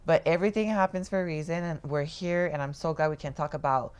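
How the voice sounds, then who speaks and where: Young female co-host, low pitched, casual slang-filled chat. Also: fairly even intonation, fast talker, a little mellow, clean tone. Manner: slangy, enthusiastic, many "like"s. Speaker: podcast co-host.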